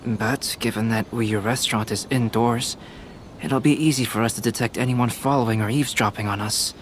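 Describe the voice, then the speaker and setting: A man voicing a calm, composed young alchemist and artist in a video game, speaking in a measured, reasoning way. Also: mid-range voice, slight background noise, close to an everyday voice. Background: game music.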